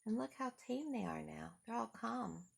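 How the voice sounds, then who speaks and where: A woman's voice: a little high, lively intonation, moderate pace, gravelly, noisy background. A woman reading a picture book aloud to young children, talking simply and adding little questions and asides. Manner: simple, child-directed talk with rhetorical questions. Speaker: storyteller for children.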